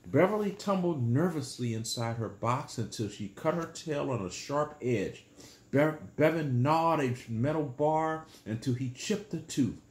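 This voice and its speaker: A man in his sixties reading a children's bedtime story aloud in a small room, pausing to explain things simply and patiently. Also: mid-range voice, lively intonation, roomy sound.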